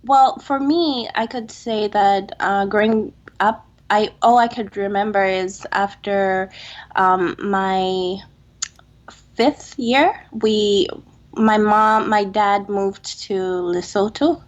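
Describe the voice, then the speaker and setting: Slow, flat-voiced woman on muffled call. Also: mid-range voice. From Zambia. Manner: soft-spoken, unhurried, with light laughs. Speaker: guest, public health student. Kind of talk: relaxed conversational interview.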